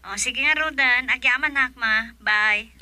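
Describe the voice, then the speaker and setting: High-voiced, anxious young Ilocano daughter. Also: female voice, a little slow, sharp tone, dry recording. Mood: polite, concerned. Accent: Filipino, Ilocano. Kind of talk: radio drama acting.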